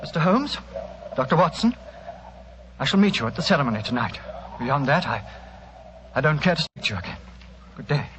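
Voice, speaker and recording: An actor playing a naive, nervous young English aristocrat in 1940s radio drama, proper and hesitant. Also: male voice, fairly high pitch, big pitch swings, brisk, long pauses. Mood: stiff. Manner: hesitant, proper, easily swayed. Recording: muffled, noisy 1940s radio recording.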